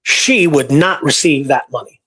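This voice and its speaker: A man's voice: a little high, a little slow, fairly bright tone. A man talking casually through historical research, rambling and informal, repeating points for emphasis. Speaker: history researcher and show guest or co-host.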